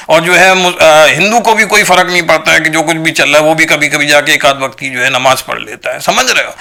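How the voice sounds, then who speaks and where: A man's voice: high-pitched, gravelly, sharp tone. An Indian man giving an opinionated monologue to viewers, argumentative and full of rhetorical questions and check-in tags. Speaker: video commentator.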